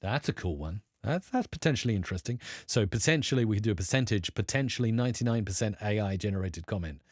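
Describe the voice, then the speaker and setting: A man's voice: on the low side, lively intonation, quick pace, no echo, clean studio sound. A man hosting a live stream, chatting casually and answering viewers, rambling upbeat with lots of fillers.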